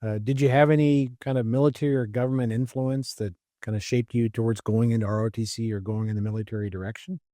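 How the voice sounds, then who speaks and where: A man in his fifties hosts a podcast and introduces his guest with easy enthusiasm. His pitch sits in the middle, and he leans on fillers as he goes. The recording is dry and close-miked. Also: fairly even intonation, fast, hardly any pauses, mellow, dry recording. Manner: friendly, filler-heavy, enthusiastic. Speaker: podcast host. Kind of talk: casual interview introduction.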